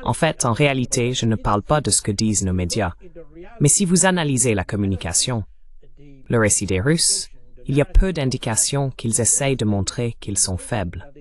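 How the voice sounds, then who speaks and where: A man delivering a translated voice-over of a political interview as the guest analyst, in a measured, explanatory way. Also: mid-range voice, expressive.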